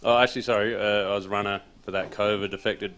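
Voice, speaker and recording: A man's voice: fairly low pitch, fast, a little hiss or hum. A man with an Australian accent, an assistant footy coach chatting casually and rambling a little in an interview. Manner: relaxed, rambling, says he has a loud voice.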